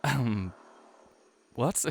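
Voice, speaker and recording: Man acting as slow-speaking, pleading lovelorn shapeshifter. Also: medium pitch, vocal fry, breathy edge, heavily acted. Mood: defensive, hurt. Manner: hesitant, halting, emotionally pleading. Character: lovelorn shapeshifting monster posing as a friend, earnest and pleading. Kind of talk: intimate audio roleplay acting.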